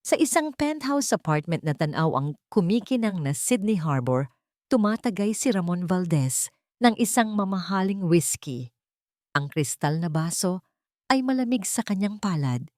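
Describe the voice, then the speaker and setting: A woman narrates a dramatic story from a script. Her voice sits a little low. The recording is very dry, with no room sound. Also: a little mellow, no room sound.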